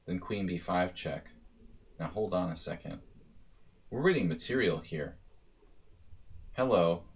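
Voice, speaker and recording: A deep-voiced man coaching over a live chess stream. He thinks aloud in a loose, casual way, trailing off and restarting, then pushing his voice up when a point matters. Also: expressive, unhurried, creaky. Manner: thinking aloud, casual, with rising bursts of emphasis.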